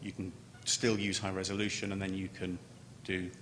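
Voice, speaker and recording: A man giving an unscripted technical conference presentation as a scientist, halting and often repeating words as he starts a phrase. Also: completely flat delivery, fairly bright tone. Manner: halting, with stammered word repetitions. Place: large conference room. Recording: echoey hall, noticeable background noise, low rumble.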